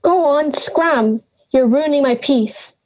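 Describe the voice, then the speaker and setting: A woman's voice: high voice, slow pace, darkish tone, low-quality recording. A young woman playing a cranky, forgetful old granny in an audio drama, brusque, sarcastic and theatrical. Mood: irritated. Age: young adult.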